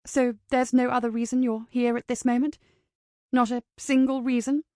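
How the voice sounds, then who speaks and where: A woman's voice: a little high, little pitch movement, normal speed, mellow, no echo, studio quality, lightly acted. A woman voicing an earnest, stubborn romantic heroine from a novel, heartfelt and spirited. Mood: exasperated.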